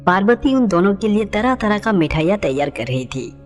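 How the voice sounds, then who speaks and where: A woman's voice: medium pitch, big pitch swings, normal speed. A woman reading scripted narration for a devotional story. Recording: long reverb, music underneath.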